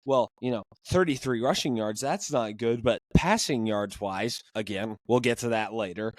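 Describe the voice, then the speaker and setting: A hoarse young man hosting a casual sports podcast, ranting with animated, exasperated energy. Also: medium pitch, normal speed, dry recording. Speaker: podcast host.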